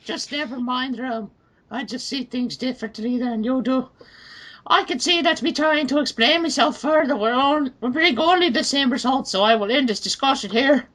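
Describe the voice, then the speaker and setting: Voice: high-pitched, normal speed, fairly bright tone, rough recording. A speaker reading written comments aloud in a dramatic reading, with prim, formal wording.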